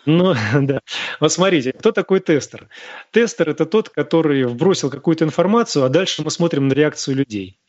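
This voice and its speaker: A man's voice: animated, fairly quick, no echo, dull audio. A man hosting a live radio talk show, lecturing listeners in an emphatic, scornful way. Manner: emphatic and scornful, with sharp rises in pitch. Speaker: radio host answering listener questions.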